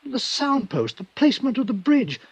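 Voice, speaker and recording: A man's voice: very high voice, sing-song, normal speed, exaggerated voice. An actor playing a proud, fussy male violin maker, indignant and stammering, in a 1950s radio drama. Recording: noisy old radio transcription.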